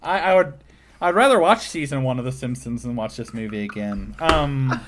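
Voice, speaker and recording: A man hosting a casual comedic film review podcast, rambling and halting as he searches for words. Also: mid-range voice, animated, fairly bright tone. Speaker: podcast host reviewing films.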